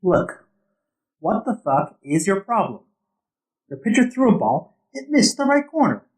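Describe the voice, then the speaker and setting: A blunt umpire in his thirties in an audio drama. The man sounds fed up and sarcastic, with a high, animated voice. The recording has a clear room echo. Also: unhurried. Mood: exasperated. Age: 30s. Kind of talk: naturalistic audio drama acting. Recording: roomy, echoing sound.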